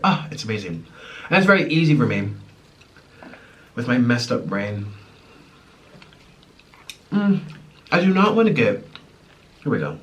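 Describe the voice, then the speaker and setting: A man's voice: mid-range voice, fairly bright tone. A man in his twenties, born in Ukraine and raised in the United States, chatting and rambling as he eats on camera at home. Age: 20s. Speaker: mukbang host. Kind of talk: casual chat while eating. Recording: slightly distant mic, faint room noise.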